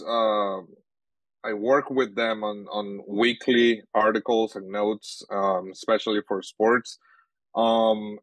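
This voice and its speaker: Venezuelan guy, young adult, native Spanish speaker, low-ish voice, dry close mic, halting and filler-heavy. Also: a bit bright, dry recording, poor audio. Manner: halting, many fillers and restarts. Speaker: sports journalist guest. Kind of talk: casual interview answers.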